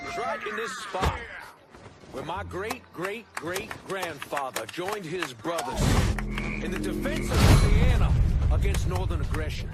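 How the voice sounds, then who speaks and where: Pompous orator, high and swooping. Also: male voice. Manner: grandiose, declamatory. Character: pompous Southern supremacist man giving a fiery oration. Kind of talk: impassioned public speech. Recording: voice under loud music.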